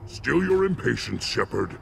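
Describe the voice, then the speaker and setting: A man playing a solemn, battle-loving alien shaman in a video game, speaking in a gravelly, ceremonial, measured way. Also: mid-range voice, sing-song, a bit bright, a little hiss or hum, heavily acted. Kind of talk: dramatic video game acting.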